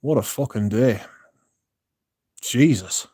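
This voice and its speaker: A man's voice: mid-range voice, expressive, slow, warm tone, slightly rough, no echo, clean studio sound. Tired, sweary man. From Britain. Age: 30s. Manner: rambling, sweary, frequent restarts. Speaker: streamer. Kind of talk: casual livestream chat. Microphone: phone.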